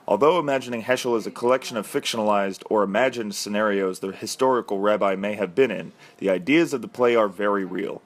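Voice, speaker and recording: A man narrating a scripted news feature as its reporter. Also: on the low side.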